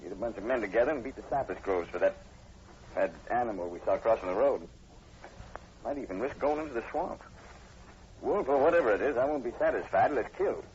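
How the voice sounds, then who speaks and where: A man's voice: mid-range voice, expressive, fast, pauses often, a little mellow, natural voice. A man playing a wary, determined police sergeant, plainspoken and matter-of-fact, in a vintage radio drama. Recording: muffled, hissy vintage radio recording.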